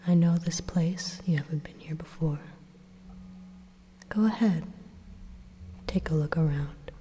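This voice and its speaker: Woman as a slow, whispering evil supercomputer. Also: sits low, slight background noise. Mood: coaxing, eerie calm. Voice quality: breathy, soft whisper. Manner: slow, deliberate, coaxing, quietly threatening. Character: evil supercomputer AI speaking inside your mind, calmly menacing. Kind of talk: whispered ASMR roleplay monologue. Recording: long echoing reverb on close whisper.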